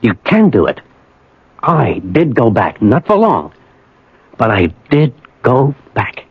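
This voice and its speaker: A man's voice: medium pitch, huge pitch swings, slow, slightly rough, full character voice. Earnest, troubled American man, emotional swings. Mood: anguished, insistent. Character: troubled, wistful man insisting he relived his past. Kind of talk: radio drama acting. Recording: dull old radio broadcast, music behind.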